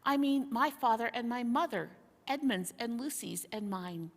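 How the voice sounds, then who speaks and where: A woman's voice: a little high, lively intonation, normal speed. A woman voicing an earnest, reassuring young boy king in a storybook reading. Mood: earnest recollection. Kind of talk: storybook character dialogue. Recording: hall-like long reverb.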